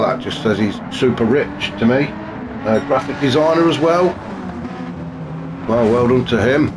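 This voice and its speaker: A man commentating a sim race in a chatty, wry way, his voice a bit gravelly and snuffly from a cold. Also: medium pitch. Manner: chatty and wry, many fillers. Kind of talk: live sim racing commentary. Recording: noisy with car engine sounds behind.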